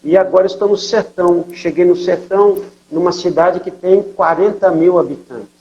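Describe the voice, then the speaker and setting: An older man with a São Paulo accent tells his missionary stories in a relaxed, conversational way, dropping in filler words and checking in with his listener. His voice sits a little high for a man, and the recording sounds dull and lo-fi. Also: normal speed. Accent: São Paulo Portuguese. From São Paulo, Brazil. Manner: storytelling, many fillers and tag questions. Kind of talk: conversational testimony interview.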